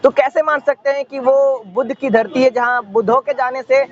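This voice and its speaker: A man's voice: very high-pitched, fairly even intonation, normal speed, lo-fi sound. A man putting questions as a news interviewer in the field.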